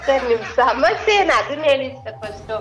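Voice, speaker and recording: A woman's voice: high voice, very animated, normal speed. A woman with a southern Karnataka accent in a casual phone conversation, blunt and questioning. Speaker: prank call recipient. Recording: phone call with music behind.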